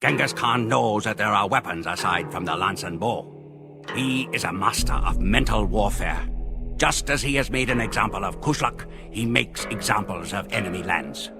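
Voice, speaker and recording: A male actor voices a proud Mongol warrior telling of conquest in a game cutscene. The voice is lowish and gravelly, with a grandiose, measured and ruthless solemnity. Clear music plays behind it. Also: lightly acted. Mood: grim, menacing. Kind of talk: dramatic scripted storytelling narration.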